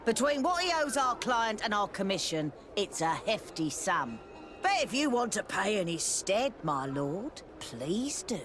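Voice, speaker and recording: Sneering sly game thug, swoopy voice, music behind. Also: male voice, sits high, big pitch swings, unhurried. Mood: mocking. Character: sly, mocking debt-collecting thug. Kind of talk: video game cutscene acting.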